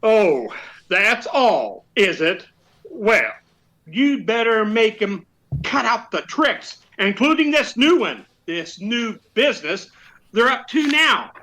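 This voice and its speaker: A bossy police sergeant as played in a radio comedy blusters in a forceful, slow and emphatic delivery. The pitch swings widely with sarcasm and exasperation. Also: very animated, exaggerated voice. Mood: sarcastic, bossy. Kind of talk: old-time radio comedy acting.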